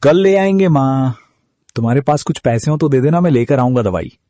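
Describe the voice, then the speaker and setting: A man's voice: medium pitch, expressive, brisk, mellow, no echo, lightly acted. An actor voicing a grown son who brushes off his old mother's needs in a casual, offhand way. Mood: dismissive.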